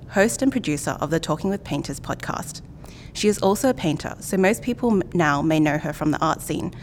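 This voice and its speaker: A young woman hosting a student podcast, reading a polite introduction and asking measured interview questions. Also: lowish voice, a little hiss or hum. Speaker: podcast host.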